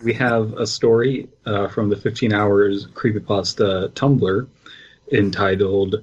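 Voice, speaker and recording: Wry low-voiced man on a muffled recording. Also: steady tone, takes it slowly, breathy edge. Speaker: podcast co-host. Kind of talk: casual chat.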